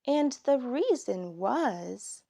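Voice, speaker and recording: A woman reads a children's storybook aloud with a highly animated, rising and falling delivery. Her pitch sits a little high, and she takes her time with every phrase, speaking expressively for young listeners. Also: extremely slow, gravelly. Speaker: storybook reader. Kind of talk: children's story read aloud, scripted narration.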